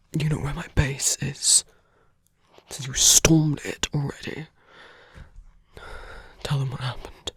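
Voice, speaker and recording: A performer voicing a wounded, protective villain in an intimate ASMR roleplay drama, murmuring haltingly in a breathy, husky half-whisper. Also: mid-range voice, no echo, heavily acted. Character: wounded villain, gruffly protective, teasing, tender toward a hero.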